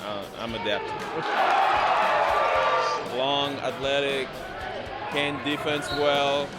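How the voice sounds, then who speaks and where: A man's voice: medium pitch, over a noisy crowd. Teenage Senegalese-accented boy, plain talk. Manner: simple non-native phrasing, measured. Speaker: high school basketball recruit. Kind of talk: interview answers. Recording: loud music underneath.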